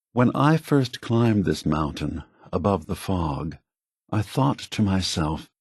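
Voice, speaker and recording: An actor playing a gentle, weary old male android leader in a video game, husky and soft-spoken, with a measured, contemplative, earnest manner. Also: sits low, dry recording, studio quality, heavily acted. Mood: calm, welcoming. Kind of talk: video game character dialogue.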